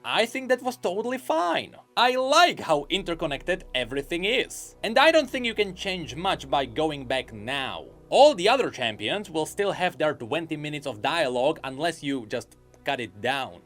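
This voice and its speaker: A man giving scripted commentary on game lore, animated and explanatory. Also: fairly high pitch, moderate pace, few pauses, soft background music. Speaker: video essayist on game lore.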